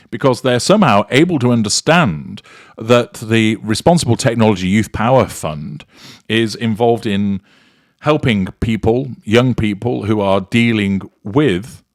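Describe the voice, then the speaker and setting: A man's voice: lowish voice, no echo, studio quality. A man hosting a commentary show, delivering scripted sarcastic remarks in a mocking, drily theatrical manner.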